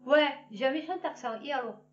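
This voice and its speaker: A woman's voice: sits high, fairly quick, roomy sound, far from the mic, muffled recording. A woman from Marseille with a Marseille accent, ranting in a casual, blunt and slangy way and laughing at her own jokes. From Marseille, France. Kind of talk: casual political rant to camera.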